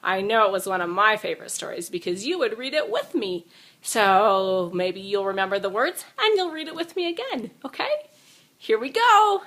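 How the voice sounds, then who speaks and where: A woman's voice: medium pitch, expressive, normal speed, a bit bright. A woman reading a children's storybook aloud, with dramatic emphasis and chatty asides addressed to a young listener. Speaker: storybook reader.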